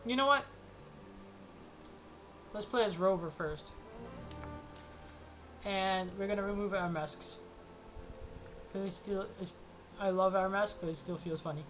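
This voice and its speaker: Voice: mid-range voice, a little slow, long pauses, fairly bright tone, faint music behind. A game streamer chatting casually on a livestream. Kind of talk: casual livestream chat with gameplay.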